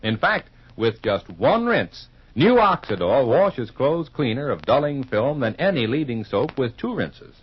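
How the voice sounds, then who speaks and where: A man announcing on 1950s radio, reading scripted pitches and narration in an emphatic, folksy, polished way. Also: very animated, measured pace, hardly any pauses, husky. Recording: muffled vintage radio recording.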